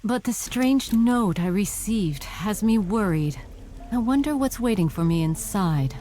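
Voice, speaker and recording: An earnest female detective, played by a woman in a mystery game, reflects aloud in a mid-pitched voice. She sounds determined and devoted, with music clearly playing underneath. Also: expressive, measured pace, close to an everyday voice. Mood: uneasy. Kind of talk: video game narration, thinking aloud.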